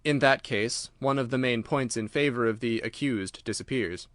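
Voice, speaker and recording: A man voicing a keen, logical, confident gentleman detective in an audiobook, with an articulate, measured, argumentative delivery. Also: mid-range voice, no echo, clean studio sound, close to an everyday voice. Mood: analytical, measured. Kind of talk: audiobook character dialogue.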